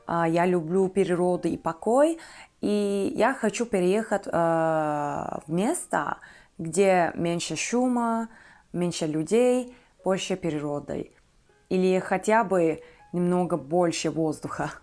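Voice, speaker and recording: Turkish-accented young woman, halting and careful. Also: fairly low pitch, darkish tone. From Turkey. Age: 20s. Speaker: vlogger. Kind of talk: personal talk to viewers about herself.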